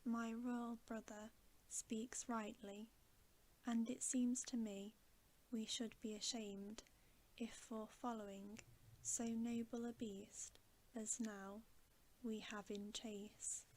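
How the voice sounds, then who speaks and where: A woman voicing an earnest, reflective young queen in a storybook, softly, with polite, formal phrasing. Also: on the high side, level tone, takes it slowly, slight background noise, poor audio. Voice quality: soft, hushed.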